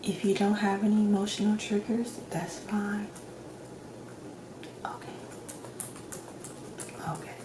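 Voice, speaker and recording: A woman doing a soft-spoken ASMR roleplay as a calm doctor, asking questions gently in a hushed, near-whispered voice with long pauses. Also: medium pitch. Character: calm doctor giving a personality assessment. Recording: roomy echo, clear background noise.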